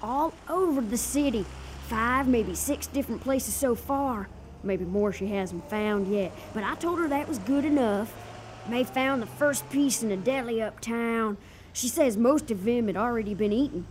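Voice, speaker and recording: Actress as a high-voiced sassy streetwise dame. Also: female voice, normal speed, a little hiss or hum, lightly acted. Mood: blithe, matter-of-fact. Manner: slangy, blithely matter-of-fact. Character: sassy, matter-of-fact streetwise woman informant. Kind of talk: 1930s-flavored audio drama acting.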